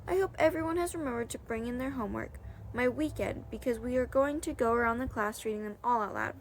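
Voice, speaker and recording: A woman's voice: a little high, quick pace, natural voice. A woman voicing a strict female schoolteacher with a commanding manner, in a storybook reading. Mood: announcing. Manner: stern, commanding. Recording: clear background noise.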